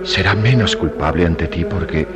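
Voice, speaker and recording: An actor playing a devout, forgiving priest in a radio drama, speaking reverently over music. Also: male voice, heavy background noise, natural voice. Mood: solemn pleading. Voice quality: very deep voice. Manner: slow, reverent, declamatory. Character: solemn, devout, forgiving priest praying at a graveside. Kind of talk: Latin American radio drama acting. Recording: loud music under the voice.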